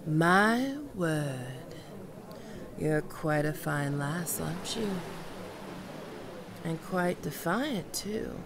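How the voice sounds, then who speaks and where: A woman playing a commanding, mocking and seductive female pirate captain in an audio roleplay, with theatrical, teasing, drawn-out menace. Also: sits low, lively intonation, a crowd in the background, exaggerated voice. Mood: menacing, appraising. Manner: theatrical, teasing, drawn-out menacing delivery with archaic turns of phrase. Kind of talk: ASMR roleplay acting.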